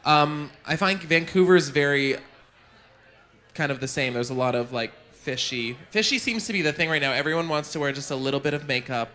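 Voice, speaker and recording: Bright chatty Canadian drag performer. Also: male voice, a little high, brisk. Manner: chatty, fillers and self-corrections. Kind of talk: casual Q&A chat. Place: bar venue with live audience.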